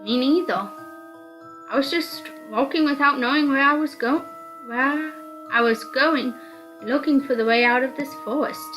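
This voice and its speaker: A woman's voice: sits high, unhurried, a bit bright, soft background music, voice pushed up, low-quality recording. A woman playing a nervous, polite young knight in a visual novel. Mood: sincere. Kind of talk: visual novel character reading.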